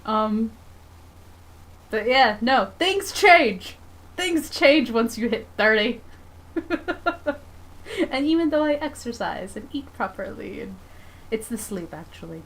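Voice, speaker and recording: A woman's voice: fairly high pitch, big pitch swings, slow pace, pauses often, fairly bright tone. A woman in her thirties chatting casually on a livestream from her home drawing desk, rambling and self-deprecating. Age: 30s. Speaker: comic artist streamer.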